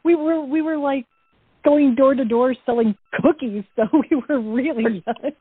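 A woman's voice: high voice, measured pace, husky, dry recording. A woman in her early fifties chatting casually as an interviewed actor, stammering and repeating words as she goes. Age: early 50s. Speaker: radio drama actor being interviewed. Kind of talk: casual chat. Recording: dry, tinny phone-like band.

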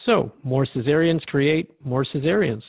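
A man's voice: mid-range voice, lively intonation, unhurried, vocal fry, husky, no echo, full character voice. A man playing a thoughtful, mildly skeptical radio interviewer in a scripted drama, speaking in a reflective, questioning way. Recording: narrow phone-like band, dry.

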